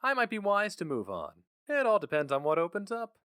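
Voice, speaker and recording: Man playing jaunty young job seeker, raised pitch. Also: wildly swooping pitch, a little fast, bright, forceful tone, clean tone, dry recording, studio quality, full character voice. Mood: earnest, polite. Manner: polite, affectedly casual. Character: jaunty urbane young male job applicant, quietly eager.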